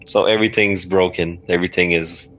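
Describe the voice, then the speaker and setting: A man with a deep, low voice plays along in a story game. He speaks casually and a little haltingly, working out his moves aloud as a guest player. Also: fairly even intonation, bright, forceful tone, a little hiss or hum, poor audio. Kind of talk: casual improvised game play.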